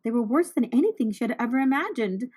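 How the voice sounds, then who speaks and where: A woman reading a children's storybook aloud, narrating with deliberate, expressive phrasing for young listeners. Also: fairly high pitch, slightly rough.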